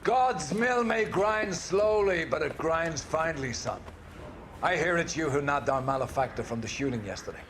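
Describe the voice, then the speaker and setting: An actor playing a stern, grandiloquent older police captain in a video game, preaching with paternal menace. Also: male voice, fairly high pitch, animated, a bit bright, slight background noise. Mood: grand, probing. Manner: grandiloquent, preachy, deliberate phrasing. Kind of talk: video game cutscene acting.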